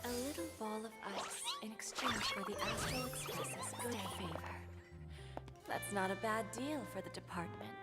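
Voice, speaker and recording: A woman voicing a composed senior woman executive in a video game, soft, hushed and smoothly persuasive. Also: mid-range voice. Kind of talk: video game character dialogue. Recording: game audio with background music.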